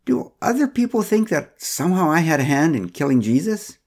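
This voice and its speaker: A man voicing a polite, puzzled neighbor in a spoken story, speaking hesitantly with a husky voice. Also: a little high, big pitch swings, brisk, darkish tone, pitched above the performer's own voice.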